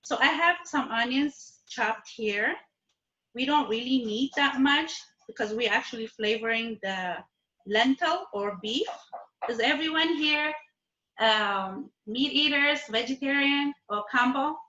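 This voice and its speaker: A woman's voice: sits high, unhurried, pushed and bright, echoey room, dull audio. A woman from Ethiopia and Eritrea teaching a live online cooking class from her kitchen, friendly and patient, often checking in with her students. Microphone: video call.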